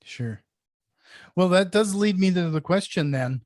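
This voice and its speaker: A man's voice: sits high, lively intonation, unhurried, a little mellow, no echo, studio quality. A man with a slight Canadian-sounding northern accent, hosting a podcast and asking easygoing questions. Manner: short backchannels, easygoing questions. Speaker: podcast host. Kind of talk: podcast interview.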